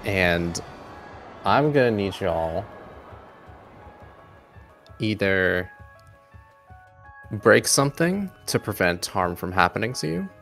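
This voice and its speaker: A man's voice: low voice, very animated, slow pace, long pauses, a bit bright, faint music behind. A man narrating a tabletop game as game master, improvising in casual, conversational phrasing.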